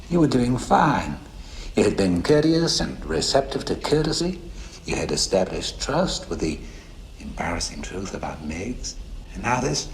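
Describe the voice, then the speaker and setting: A man's voice: deep voice, a little hiss or hum. A man playing a cultured, condescending killer in a film thriller, precise and mocking.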